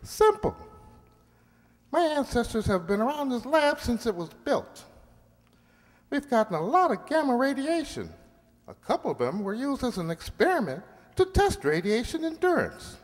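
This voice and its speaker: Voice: mid-range voice, big pitch swings, normal speed, lightly acted. A performer voicing a small talking male lab mouse in an amateur radio play, plainspoken, peaceable and matter-of-fact with a wisecracking edge. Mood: dry, insistent. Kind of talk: amateur radio drama acting. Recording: echoey hall-like reverb.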